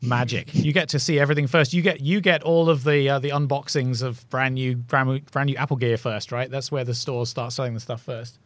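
A man hosting a podcast interview from a studio, upbeat and joking, with quick reactions to his guests. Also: mid-range voice, steady tone, dry recording. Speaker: podcast host.

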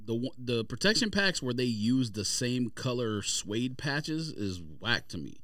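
A man's voice: medium pitch, very animated, unhurried, fairly bright tone, dry recording. A man co-hosting a casual podcast, blunt and slangy, recorded in a dry room a little away from the mic. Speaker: podcast co-host. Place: small podcast room or studio.

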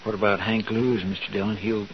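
An actor playing an earnest, deferential marshal's sidekick with a plain folksy manner, in 1950s radio western style. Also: male voice, on the low side, little pitch movement, fast, natural voice. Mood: earnest worry. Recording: muffled, faintly noisy old radio recording.

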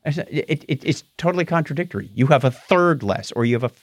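A man's voice: fairly high pitch, no room sound. A middle-aged man chatting through tech news as a podcast co-host. His voice swings up and down with animation, and he trips over tricky words. The sound is dry and close to the mic. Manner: animated, stumbles over hard words, does quick voices in quotes. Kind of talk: casual tech news chat.

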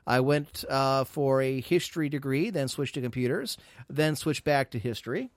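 A man's voice: mid-range voice, normal speed, no room sound, studio quality. A man hosting a live podcast, chatting casually, rambling and teasing with mock boasts. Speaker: podcast host.

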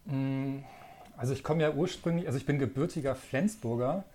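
A man's voice: mid-range voice, fairly quick, slight background noise. Thirties male guest from northern Germany, easygoing, lots of ähm. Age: 30s. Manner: relaxed, friendly, frequent fillers. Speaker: magazine editor, guest. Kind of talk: casual interview chat.

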